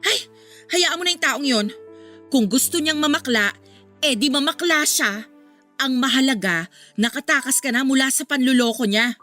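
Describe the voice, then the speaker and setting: A woman with a Filipino accent playing a protective, easily scandalized confidante, expressive and emphatic, in an audio drama. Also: high-pitched, moderate pace, long pauses, faint music behind. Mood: consoling.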